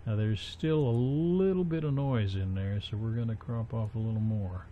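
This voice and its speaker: A man presenting a casual, unscripted software tutorial, haltingly thinking aloud as he works. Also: fairly low pitch. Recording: dull, muffled home recording with faint noise.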